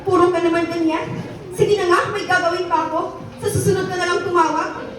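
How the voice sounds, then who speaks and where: Woman acting a very high, whiny spoiled child. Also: fairly even intonation, a little fast. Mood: impatient, resentful. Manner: whiny, dismissive. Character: spoiled, demanding, ungrateful student child. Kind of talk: amateur radio drama acting. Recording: very echoey, distant, crowd noise behind.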